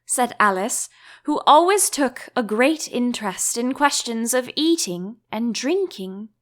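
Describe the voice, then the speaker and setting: A woman narrates a storybook in a fairly high voice. Her reading is unhurried and expressive, with very lively melody, as an audiobook narrator would give it.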